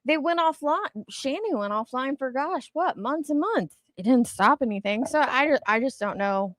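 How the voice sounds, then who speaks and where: A woman's voice: high-pitched, animated, normal speed, a bit bright, no room sound, studio quality. A woman giving casual, candid commentary as an online show host, speaking emphatically and swearing mildly.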